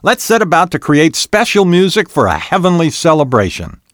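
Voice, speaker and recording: Guy doing an eager little heavenly helper, humble, effusive, high swoopy voice, kids storybook. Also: very animated, moderate pace, a bit bright, no room sound, clean studio sound.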